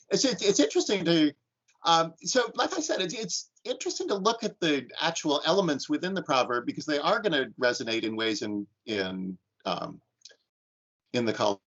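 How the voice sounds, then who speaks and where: A man's voice: a little high, animated, brisk, fairly bright tone, highs cut off. A man instructor teaching an online class and leading discussion, talking thoughtfully with frequent restarts and ums.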